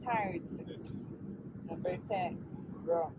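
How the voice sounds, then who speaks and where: A woman's voice: fairly low pitch, drawn-out words. Woman travel vlogger, casual chat in english, dull audio, really noisy plane cabin. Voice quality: non-native English phrasing. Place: aboard an airliner cabin in flight. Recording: dull muffled sound, loud cabin drone. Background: loud aircraft cabin noise.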